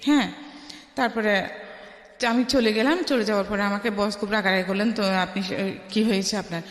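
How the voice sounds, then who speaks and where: A woman with a Bangladeshi Bengali accent tells her life story as a radio interview guest, haltingly, restarting phrases and dropping in English words. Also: mid-range voice, fairly even intonation. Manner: halting, with repeated false starts and English words mixed in. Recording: echoey with long reverb.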